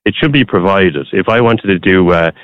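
A man's voice: low voice, normal speed. An Irish man from Waterford calling into a radio show, arguing his case earnestly and pressing on through interruptions. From Ireland. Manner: earnest, pushes on through interruptions with many restarts. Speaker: radio caller. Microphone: phone line. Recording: muffled phone-in line.

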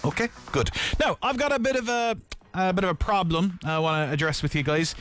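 A man's voice: high-pitched, fairly quick, a bit bright, soft background music. A man hosting a breakfast radio show, chatty and jokey as he gives away tickets. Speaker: radio host. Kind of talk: breakfast radio chat and giveaway. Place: radio studio.

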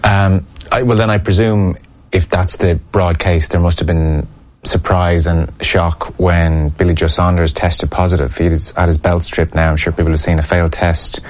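Deep-voiced male interviewer. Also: level tone, moderate pace. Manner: brief backchannels, hesitant questions with fillers. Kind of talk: interview questions.

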